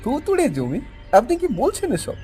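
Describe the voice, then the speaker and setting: A wealthy city buyer in a cartoon tale, surprised and doubting at every turn, played by a man. His pitch leaps around a lot, yet the tone stays mellow. Soft music plays beneath. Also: huge pitch swings, normal speed, full character voice. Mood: skeptical. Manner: surprised, questioning, dismissive.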